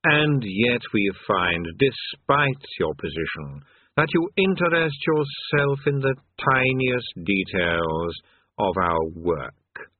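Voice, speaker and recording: A man voicing an old demon courtier in a storybook, grandly obsequious and slyly flattering, drawn-out and oily, on a narrow tinny recording. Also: medium pitch, dry recording. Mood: obsequious praise. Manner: drawn-out, oily, expansive, sneering. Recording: narrow tinny phone-like sound.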